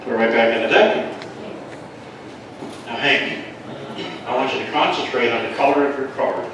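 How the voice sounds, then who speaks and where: A man's voice: medium pitch, fairly even intonation, normal speed. A man performing live comedy magic patter, playfully instructing a volunteer in front of an audience. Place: live venue with audience, recorded from afar. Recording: echoey room, distant mic, background noise.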